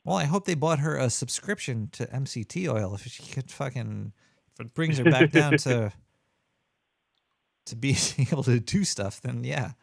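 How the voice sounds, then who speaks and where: A man's voice: medium pitch, animated, normal speed, gravelly, dry recording, studio quality. A man hosting a show, chatting casually in a cynical, rambling way and swearing freely. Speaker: radio show host. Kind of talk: casual chat.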